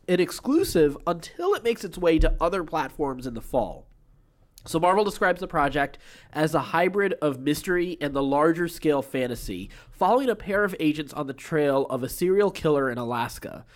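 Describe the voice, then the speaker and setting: A man's voice: a little high, animated, brisk. A man hosting a podcast, reading entertainment news with informal, enthusiastic, chatty asides. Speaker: podcast host.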